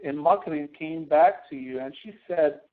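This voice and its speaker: A man's voice: fairly high pitch, moderate pace. Tinny, phone-like male instructor. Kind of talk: spreadsheet tutorial, live online lesson.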